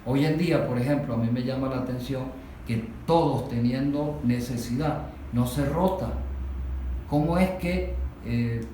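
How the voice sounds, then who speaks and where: A man's voice: mid-range voice, unhurried, mellow. Venezuelan man explaining from afar, very echoey. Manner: explanatory, poses questions and answers them. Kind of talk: spontaneous discussion of workplace issues. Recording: very echoey room, distant mic, faint noise.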